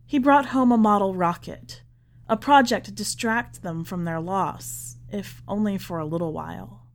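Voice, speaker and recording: A woman reading literary fiction aloud as scripted narration, with measured, deliberate phrasing. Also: mid-range voice. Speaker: podcast host reading a short story.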